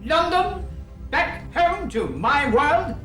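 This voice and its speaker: A man plays a bitter, defiant prisoner with a slow, sardonic drawl and a high-set voice. The recording is echoey, with faint music in the background. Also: big pitch swings, fairly bright tone, full character voice. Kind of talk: amateur audio drama. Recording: echoey room.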